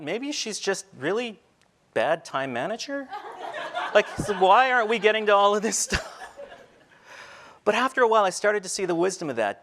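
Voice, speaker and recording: A middle-aged teacher telling personal stories in a talk on a large indoor stage, shifting between quiet reflective moments and light humor. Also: male voice, high voice. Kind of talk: storytelling keynote talk. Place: large stage before a seated audience, indoors. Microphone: headset mic.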